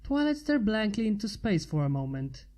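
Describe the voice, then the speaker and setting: A woman narrates a piece of fan fiction from a script. Her voice has a dark, mellow tone and sits at an ordinary pitch for a woman. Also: very animated, moderate pace.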